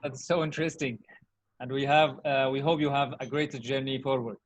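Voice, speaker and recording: A man's voice: mid-range voice, fairly even intonation, normal speed. A man interviewing a guest, politely putting questions and responding to the answers.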